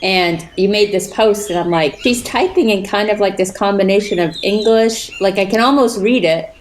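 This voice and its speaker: A woman co-hosting a podcast, encouraging and quick to affirm the guest. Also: medium pitch, slight background noise. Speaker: podcast co-host. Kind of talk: podcast interview.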